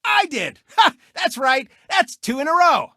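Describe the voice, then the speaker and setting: A man's voice: extremely high pitch, sing-song, a little slow, bright, forceful tone, dry recording, clean studio sound, full character voice. A man voicing a cocky, egotistical race car who hosts a TV racing show, with boastful, mocking showboat delivery in a video game. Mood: smug boasting. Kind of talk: animated video game sportscast patter.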